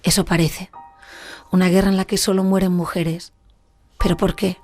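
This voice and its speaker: A woman's voice: on the low side, flat delivery, normal speed, darkish tone, soft background music. A woman narrating a documentary in a reflective, questioning way. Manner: questioning, musing.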